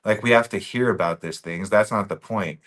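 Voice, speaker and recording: A man's voice: fairly low pitch, fairly quick, fairly bright tone. A man from Bosnia, a podcast guest talking casually and earnestly, often repeating his key phrases for emphasis.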